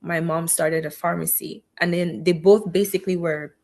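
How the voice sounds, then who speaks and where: Middle-aged woman, physician guest, earnest and faith-filled storytelling, low-pitched. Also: steady tone, normal speed, creaky, darkish tone. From Cameroon. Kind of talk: personal story in an interview.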